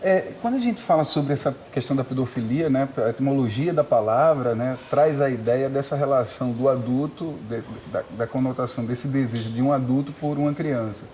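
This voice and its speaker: A man with a Northeastern Brazilian accent speaks as a psychologist guest on a studio talk show. His voice is mellow and his explanations are measured, studded with little filler tags. Faint noise sits underneath. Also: medium pitch. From Pernambuco, Brazil.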